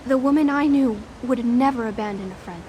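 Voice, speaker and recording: A woman's voice: on the high side, brisk, darkish tone, slight background noise, natural voice. An actress playing a polite, bewildered young daughter grieving her mother in a video game, earnest and courteous. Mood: stunned, reflective. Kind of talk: dramatic video game dialogue.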